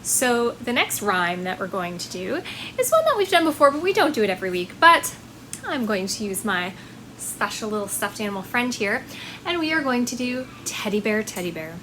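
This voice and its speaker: A woman's voice: on the high side, sing-song, normal speed, pushed and bright, slight background noise. A woman working as a children's librarian, presenting storytime in a simple, sing-song way for small children.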